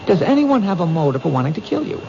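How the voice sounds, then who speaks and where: A man's voice: sits high, sing-song, fast talker, creaky, mellow, exaggerated voice. A man acting in a radio mystery as an earnest police detective, questioning in a persuasive, probing way. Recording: dull old radio recording, noisy background.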